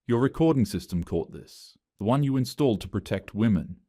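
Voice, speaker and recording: A man voicing a calm, pointed male coworker confronting an HR manager. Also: lowish voice, fairly quick. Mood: cold, pointed.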